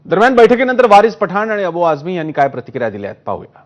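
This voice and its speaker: A man's voice: high-pitched, wildly swooping pitch, very fast. A man working as a news anchor, reading scripted news narration. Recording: muffled broadcast audio with music bed. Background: news music.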